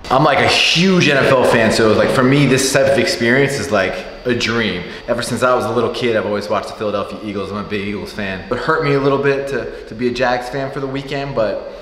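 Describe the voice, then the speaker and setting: A man in his thirties talking casually and excitedly to camera as a vlogger, with lots of fillers, in front of a green screen. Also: mid-range voice, quick pace. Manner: excited, chatty, filler-heavy. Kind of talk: casual vlog talk to camera. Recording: echoey room with background noise.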